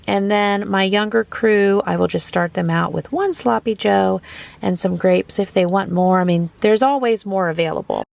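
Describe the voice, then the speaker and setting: Hoarse chatty mom vlogging a hotel room. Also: female voice, mid-range voice, normal speed, a little mellow. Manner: chatty, upbeat running commentary. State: hoarse, losing her voice. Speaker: vlogger, mother of a large family. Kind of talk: casual vlog narration while cooking. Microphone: handheld phone.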